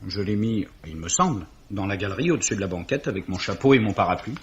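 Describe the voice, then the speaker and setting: A polite, unsure young gentleman in a 1950s radio play. His voice is low and very breathy-rough, his manner deferential. The recording has a muffled old sound. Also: fast. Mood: hesitant. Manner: hesitant, deferential. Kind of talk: 1950s French radio drama acting.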